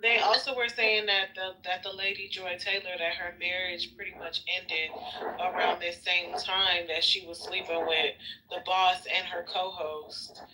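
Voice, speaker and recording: A person in a casual group voice chat, gossiping with relaxed, hesitant fillers. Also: male voice, high-pitched, a little slow, heavy background noise.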